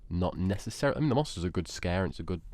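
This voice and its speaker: A man casually debating films and games as a podcast host, in a halting way that repeats and piles up clauses. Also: deep voice, animated, darkish tone, dry recording.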